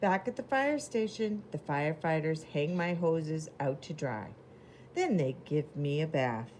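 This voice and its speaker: Children's storybook role: eager little fire engine read by a woman, proud, expressive, swooping intonation in a mellow tone. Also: low voice, a little slow, a little hiss or hum, poor audio. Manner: expressive, simple storybook delivery. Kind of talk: children's storybook character narration.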